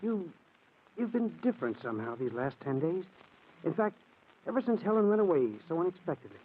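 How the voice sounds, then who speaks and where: An actor playing a worried, devoted husband in a 1940s radio mystery, earnest and stammering. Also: male voice, a little high, very animated, brisk, a little hiss or hum. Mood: exasperated. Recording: tinny old radio recording.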